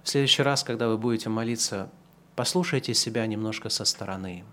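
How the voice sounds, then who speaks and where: Male pastor, 30s, sermon delivery: slow emphatic build-ups, then easy conversational asides. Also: fairly low pitch, a little hiss or hum. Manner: swings from slow, loud emphatic preaching to quieter conversational asides.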